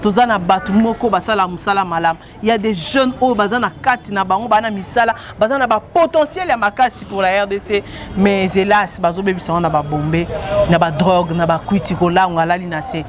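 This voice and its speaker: A man's voice: sits high, fairly quick. Congolese male interviewer, street noise. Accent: Congolese French. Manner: conversational, mixes French and Lingala. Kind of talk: street interview in French and Lingala.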